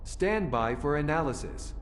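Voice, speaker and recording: A man's voice: on the high side, lively intonation, a little slow, a little hiss or hum. A man voicing a formal, impersonal automated emergency protocol system in a game. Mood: impersonal, procedural. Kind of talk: scripted game announcement.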